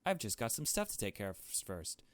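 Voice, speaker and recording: A man voicing a cocky, taunting young woman villain in a visual novel, with a mocking, breezy, drawn-out delivery. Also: lowish voice, sing-song, no echo. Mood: breezy, smug.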